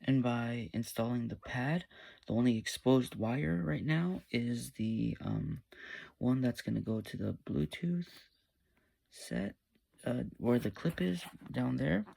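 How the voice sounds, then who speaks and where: A man casually talking viewers through a hands-on gear install, relaxed and hesitant with frequent fillers. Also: medium pitch, takes it slowly, vocal fry. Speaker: YouTube motorcycle gear reviewer. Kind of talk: casual step-by-step install walkthrough.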